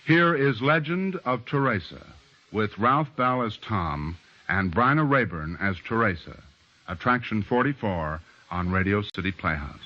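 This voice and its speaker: A man's voice: lowish voice, lively intonation, measured pace. A man with an American accent hosting formally in 1940s radio announcing style. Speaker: radio show director and host. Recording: dull old radio recording.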